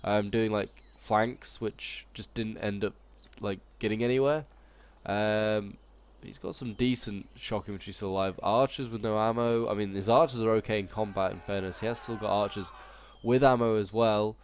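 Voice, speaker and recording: A man's voice: fairly low pitch, steady tone, normal speed, smooth. Stuffy-nosed man commentating a strategy game. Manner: chatty and wry, with frequent ums and self-corrections. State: stuffy with a cold. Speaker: game channel host commenting a battle replay.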